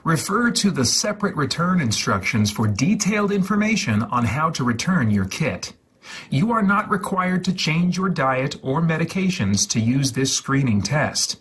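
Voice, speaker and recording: A man reading an instruction leaflet aloud in a deliberate, deadpan way, drawing out and repeating some words. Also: fairly high pitch, slightly rough. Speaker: host of a gaming livestream channel.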